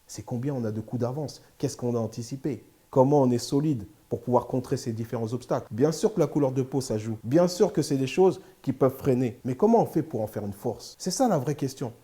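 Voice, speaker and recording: Middle-aged man, dark and rapid, emphatic interview guest. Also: mid-range voice. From France. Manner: steady, emphatic, builds points in repeated short phrases. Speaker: interview guest, lawyer and sports agent. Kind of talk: candid interview talk.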